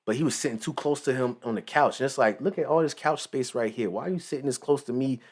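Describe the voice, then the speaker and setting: A man's voice: mid-range voice, fast. A man in his late twenties giving rambling, slangy casual commentary with lots of asides. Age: 20s. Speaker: commentary channel host.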